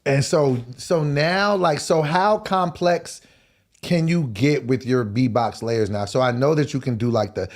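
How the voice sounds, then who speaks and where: A man's voice: medium pitch, a little slow. A man in his forties hosting a live-streamed talk show, laid-back and chatty, asking long rambling questions and saying "gotcha" a lot. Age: 40s. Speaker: host. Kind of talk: casual interview chat. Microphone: live stream call.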